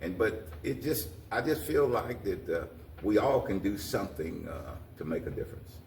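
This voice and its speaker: An older American man with a low voice speaks in a roomy space, some distance from the mic. He gives folksy, exhorting interview answers, often circling back over his words. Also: big pitch swings, normal speed, gravelly, a little mellow, a little hiss or hum. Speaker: guest, community organization president. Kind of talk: interview talk, plain-spoken encouragement.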